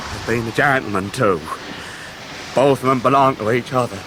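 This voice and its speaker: An actor playing a heartbroken, honest young fisherman in a period radio drama, halting and deferential. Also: medium pitch, close to an everyday voice. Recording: noisy 1990s radio drama recording.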